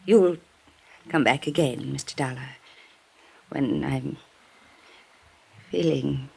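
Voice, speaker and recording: A woman's voice: rumbling low, takes it slowly, creaky, mellow, breathy edge, full character voice. A woman playing a frail, vague middle-aged mother, secretive and apologetic, in a 1950s radio drama. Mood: suddenly gracious. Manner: drifting, apologetic, genteel. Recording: noisy old radio recording.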